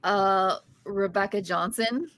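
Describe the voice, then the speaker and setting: A woman's voice: mid-range voice, takes it slowly, fairly bright tone, a little hiss or hum. A woman reading an awkward, earnest young sitcom heroine at a comedy table read. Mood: awkward, eager. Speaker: guest reading the lead part at a table read.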